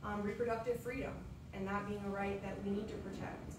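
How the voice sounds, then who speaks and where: A woman's voice: medium pitch, little pitch movement, a little fast. A woman in her thirties, a city commissioner giving an earnest opening statement at a candidate forum with frequent ums. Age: 30s. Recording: echoey hall, far from mic, noisy.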